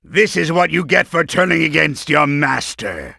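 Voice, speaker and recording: A man's voice: on the high side, moderate pace, vocal fry, pushed and bright, dry recording, clean studio sound, heavily acted. A man voicing a bombastic, scheming middle-aged mad-scientist villain in a video game, theatrical and blustering, with a hot temper. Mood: angry, threatening.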